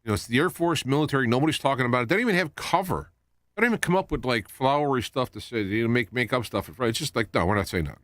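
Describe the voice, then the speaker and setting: A man hosting a live talk show, rambling in a halting way as he wanders from point to point. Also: mid-range voice, expressive, fairly bright tone, no room sound, studio quality. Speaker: radio show host.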